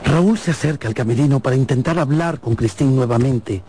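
A man narrating a radio drama in a theatrical storytelling way, with deliberate pauses. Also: mid-range voice, dark tone, slight background noise. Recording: music behind the voice.

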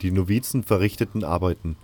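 A man's voice: low voice, quick pace, a little mellow. A man narrating a scripted fantasy audio drama in the first person as a storyteller. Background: music.

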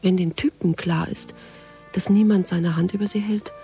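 A creaky, hushed female voice over music. She is a wry police detective in a radio crime drama, reasoning through a case in a reflective inner monologue. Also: lowish voice, fairly even intonation, normal speed. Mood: brooding, analytical.

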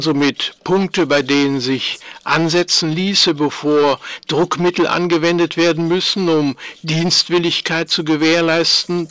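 An actor playing a calculating spymaster who delivers a precise, formal analysis of an agent in a German radio drama. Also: male voice, a little high, bright, forceful tone, dry recording.

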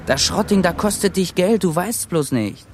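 An actor playing a rough, lustful drifter and secret lover in a naturalistic radio drama, terse and muttering, with a gravelly, husky voice. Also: male voice, high-pitched, expressive, normal speed, slight background noise. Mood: offhand, grumbling.

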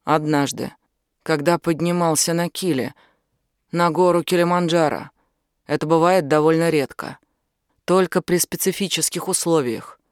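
A woman's voice: sits low, normal speed, clean tone, dry recording, clean studio sound, lightly acted. A woman reading an audiobook, voicing a blunt, commanding and protective man who explains things firmly. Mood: calm, explaining. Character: angry, protective British man, outdoorsy and knowledgeable. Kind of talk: audiobook character dialogue.

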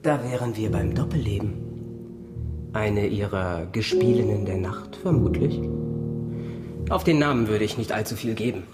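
A man's voice: fairly low pitch, moderate pace, pauses often, echoey room, over loud music, close to an everyday voice. An actor playing a breezily optimistic, scheming defence lawyer in a radio drama, a man with a confident, glib manner. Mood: breezy, scheming.

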